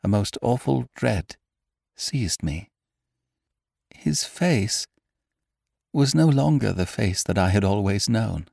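A man's voice: lowish voice, animated, takes it slowly, vocal fry, pauses often, a little mellow, dry recording, voice pushed up, exaggerated voice. A man reading an audiobook, voicing a troubled young woman who hesitates and grows frightened as she speaks. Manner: hesitant, trembling, rising to alarm. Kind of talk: audiobook character voice.

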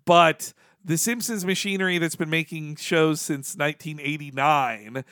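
Male co-host, slightly high voice, crisp and close-sounding, chatty explainer in relaxed fan talk. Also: moderate pace, no room sound. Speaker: podcast co-host. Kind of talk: casual fan chat.

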